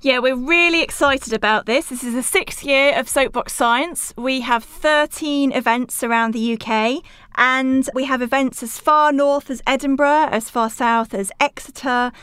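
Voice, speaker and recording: A woman from Wales speaks as an interview guest. Her voice is smooth and fairly high, and she explains in an articulate, orderly way. The recording is close and dry. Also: a little slow, a bit bright, no room sound. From rural Wales. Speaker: interview guest, science outreach organiser.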